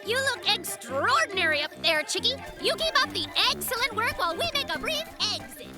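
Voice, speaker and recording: A performer voicing an excitable, stubborn, goofy little bear cub in a children's cartoon, with a playful and dramatic manner. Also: very high-pitched, fairly quick. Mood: sly, confident. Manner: playful, silly wordplay. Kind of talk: children's animated cartoon acting. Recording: loud children's music behind the voice.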